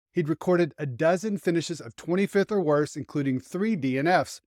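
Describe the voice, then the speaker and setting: A man's voice: on the high side, no echo, clean studio sound. A man hosting a motorsport show, delivering scripted commentary on racing news. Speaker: host and motorsport writer.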